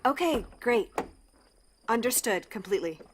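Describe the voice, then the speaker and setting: A woman's voice: a little high, lively intonation, normal speed, noisy background, natural voice. An actress playing a friendly, awkward woman pediatrician in an audio drama, apologetic and often interrupting herself. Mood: awkwardly cheerful. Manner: chatty, awkward, self-interrupting. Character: friendly, flustered, apologetic woman pediatrician who shelters fugitives. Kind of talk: naturalistic audio drama dialogue.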